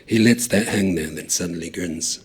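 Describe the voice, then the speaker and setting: A man reading a short story aloud, acting out the voices of the characters, loud on some lines and hushed on others. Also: deep voice, brisk, husky. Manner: lively, acts out the dialogue, shouting some lines and dropping to a hush on others.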